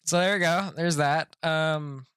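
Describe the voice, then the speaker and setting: A man's voice: a little high, unhurried, fairly bright tone, smooth, no echo, clean studio sound. A man giving casual, playful live stream commentary while he plays a video game, offhand and reacting aloud.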